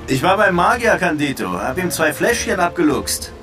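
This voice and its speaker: An actor playing a detective casually coaxing a friend for help in a radio drama. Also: male voice, high-pitched, big pitch swings, moderate pace, far from the mic, over music. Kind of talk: German radio drama acting.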